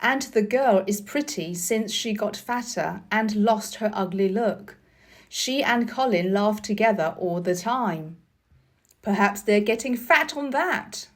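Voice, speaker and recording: Mild storybook family doctor, a man, read by a woman, reflective, slow, melodic delivery. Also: medium pitch, animated. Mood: musing. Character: mild, thoughtful male family doctor, adult.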